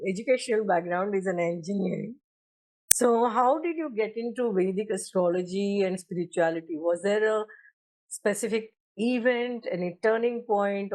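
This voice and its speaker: A woman's voice: medium pitch, darkish tone, poor audio. A woman hosting an interview podcast, giving formal welcomes and asking questions. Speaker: podcast host.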